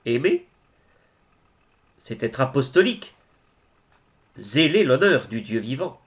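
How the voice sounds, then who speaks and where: A man with a mid-pitched voice recites devotional verse very slowly. His delivery is solemn and declamatory, with wide rises and falls in pitch and deliberate pauses between phrases. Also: big pitch swings. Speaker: reader of devotional poetry.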